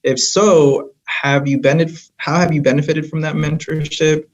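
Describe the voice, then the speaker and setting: A man's voice: a little high, steady tone, measured pace. A man moderating a panel in a casual, affirming way. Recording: dull lo-fi sound.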